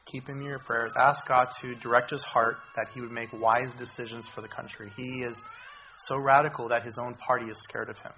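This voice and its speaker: A hoarse man preaches in a church, pausing and restarting often as he speaks. His voice sits at an ordinary middle pitch. The recording is dull and lo-fi, with faint hiss behind him. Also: level tone. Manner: halting, many ums and restarts. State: hoarse, recovering voice. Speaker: visiting missions speaker. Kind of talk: church sermon.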